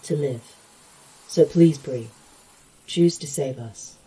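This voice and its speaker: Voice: medium pitch, slow pace, dark tone, husky, recorded from a distance, poor audio. An actor playing a blunt, resolute survivor in a sci-fi horror audio drama, speaking in a terse, insistent way. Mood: urgent, pleading.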